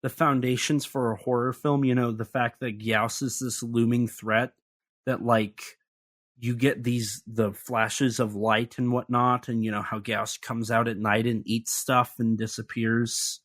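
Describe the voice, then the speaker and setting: A man's voice: mid-range voice, level tone, measured pace, a bit bright. A man with an ordinary mid-range voice, co-hosting a podcast and walking through a film scene by scene. He is chatty and analytical, with dry, lightly sarcastic asides. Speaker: podcast co-host. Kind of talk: casual film discussion.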